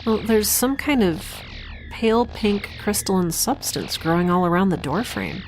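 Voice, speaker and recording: A woman's voice: mid-range voice, moderate pace, faint music behind, lightly acted, low-quality recording. An actress playing a quirky, sleep-deprived young woman scientist in a sci-fi audio drama, chatty and rambling, often interrupting herself. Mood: focused, excited.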